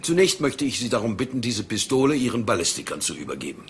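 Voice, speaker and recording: An actor playing a smooth, haughty, wealthy villain in a German crime radio play, speaking formally and with condescension. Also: male voice, mid-range voice, sing-song, fast, a little hiss or hum. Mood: indignant, formal.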